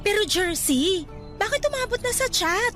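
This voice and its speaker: Very high, bright, scolding Filipina. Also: female voice, expressive, moderate pace. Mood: exasperated, suspicious. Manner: scolding, exasperated, cautionary. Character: nagging, sensible female cousin and resort co-worker. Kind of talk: Filipino radio drama acting. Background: music bed.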